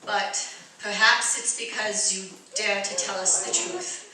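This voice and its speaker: A woman's voice: mid-range voice, expressive, unhurried, a crowd in the background, lightly acted. A woman acting a spoiled, haughty, discontented rich wife in a radio play, with theatrical petulance. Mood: miserable. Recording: distant, very echoey stage recording.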